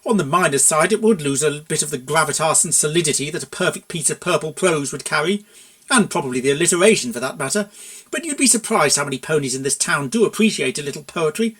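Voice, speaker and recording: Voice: mid-range voice, lively intonation, fast talker, fairly bright tone. A performer doing a pompous, polite middle-aged stallion. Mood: earnest, wordy. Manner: polite, pompous, flowery wording. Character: suave, verbose middle-aged stallion image consultant.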